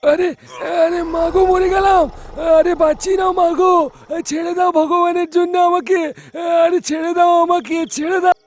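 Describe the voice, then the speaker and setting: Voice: very high voice, level tone, moderate pace, soft background music. A performer voicing a greedy, cowardly rickshaw driver with a whining, exaggerated cartoon delivery. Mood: terrified wailing. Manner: whining, wailing pleas.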